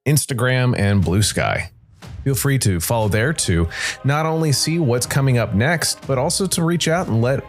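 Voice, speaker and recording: A man hosting a story podcast, reading scripted narration. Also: fairly low pitch. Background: music.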